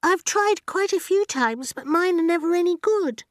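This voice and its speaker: Small schoolgirl, storybook character, very high voice, earnest, shy, polite, precise. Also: animated, normal speed, dry recording, studio quality. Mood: hesitant, shy. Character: bright, earnest, shy five-year-old schoolgirl.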